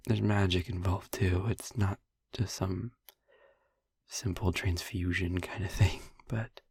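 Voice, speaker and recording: A young vampire boyfriend, acted by a man in an intimate audio roleplay, speaking low and very breathy, close to a whisper. He takes his time, gentle and wry, confiding in halting phrases with a gravelly edge. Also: no echo, heavily acted. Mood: quiet explaining. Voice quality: breathy, husky, often near a whisper. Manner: halting, pausing, wry asides.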